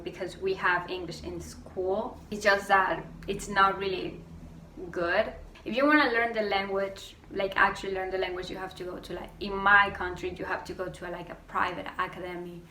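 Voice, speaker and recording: A young woman from Venezuela, with a Venezuelan accent and Spanish as her first language, ranting casually to camera with lots of fillers. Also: medium pitch. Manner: quick, animated storytelling with many fillers. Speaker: vlogger. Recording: roomy echo, distant mic, faint noise.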